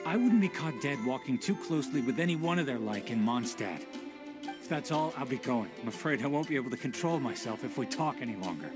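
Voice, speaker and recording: A man's voice: medium pitch, expressive, fairly quick, natural voice. An actor voicing a resentful common townsman in a fantasy game who talks bluntly and dismissively. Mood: irritated. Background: game music.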